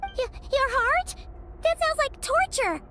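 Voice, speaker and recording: A woman voicing a tiny, excitable female fairy companion in a video game, shrill and stammering in alarm. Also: slow pace, loud music behind the voice, heavily acted. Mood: shocked. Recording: noisy game capture.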